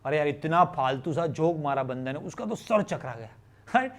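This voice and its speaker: A male teacher with an Indian accent and a notably high voice explains a lesson to students. He is informal and conversational, checking in with questions, repeating phrases and slipping in bits of English. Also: lively intonation, moderate pace. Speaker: chemistry teacher.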